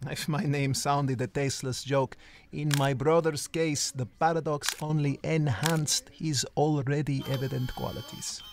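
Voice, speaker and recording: A man's voice: on the high side, fairly even intonation, a little slow, background noise. A man playing a bitter, ironic narrator who looks back on his life in grandiose, literary dramatic voice-over. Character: Federico, bitter, self-loathing, ironic male narrator looking back. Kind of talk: dramatic first-person voice-over.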